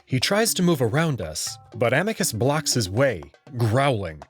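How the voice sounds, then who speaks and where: A man reading a first-person story narration in an expressive way. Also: medium pitch, unhurried, soft background music. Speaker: narrator and reader of a visual novel.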